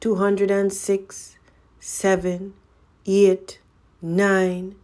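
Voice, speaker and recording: A woman voicing a stubborn, wizened old village witch from a folk tale, croaky and terse. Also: medium pitch, drawn-out words, pitched above the performer's own voice. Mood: monotonous, stubborn. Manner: monotonous counting, terse commands.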